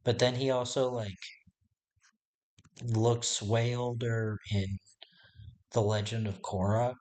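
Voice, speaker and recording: A man with a raspy voice, chatting casually and hesitantly to his live-stream viewers while he draws. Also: medium pitch, little pitch movement, slow, darkish tone. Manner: rambling and hesitant, talking to himself while working. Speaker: artist livestreaming his drawing. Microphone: desk microphone.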